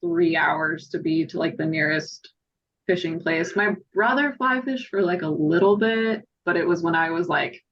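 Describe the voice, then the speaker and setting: A woman's voice: fairly low pitch, moderate pace, fairly bright tone, highs cut off. A woman in her thirties from Utah chatting casually as a podcast guest, in piecemeal phrases peppered with "like". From southern Utah, United States. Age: 30s. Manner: hesitant, piecemeal phrasing with constant "like". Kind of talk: casual chat.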